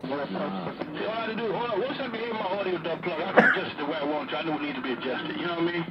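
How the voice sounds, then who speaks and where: A middle-aged man with a high-pitched voice chatting casually over the radio, streetwise and swearing freely. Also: quick pace. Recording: muffled radio audio, loud vehicle noise.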